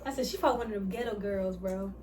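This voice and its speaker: A woman's voice: mid-range voice, very fast, darkish tone. A woman chatting casually during a mukbang, rambling and losing her train of thought. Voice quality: light, somewhat feminine voice. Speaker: vlogger answering couple questions. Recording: distant mic, faint hiss.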